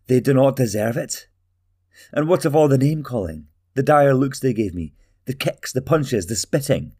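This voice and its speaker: A man playing a bitter, vengeful young man who hisses and shouts his resentment at his mother. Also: low-pitched, lively intonation, measured pace, creaky, breathy edge, exaggerated voice. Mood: bitter, shouting.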